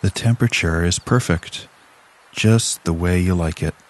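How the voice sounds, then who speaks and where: Very deep, slow male relaxation guide. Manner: calm, soothing, measured with long pauses. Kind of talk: scripted guided relaxation narration.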